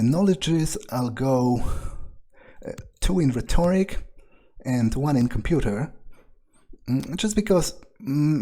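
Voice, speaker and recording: Husky, mellow man narrating a role-play. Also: mid-range voice. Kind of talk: improvised character backstory narration. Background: background music.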